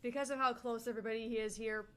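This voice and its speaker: A woman's voice: fairly high pitch, fairly even intonation, very fast, over a noisy crowd. A woman chatting casually as co-host of a visual novel let's play, reading along and commenting.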